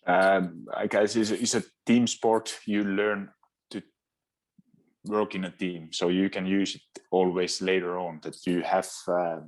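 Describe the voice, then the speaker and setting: Low flat-voiced Finnish man. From Finland. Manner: halting, searching for words, many restarts. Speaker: guest, fitness trainer. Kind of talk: casual interview talk.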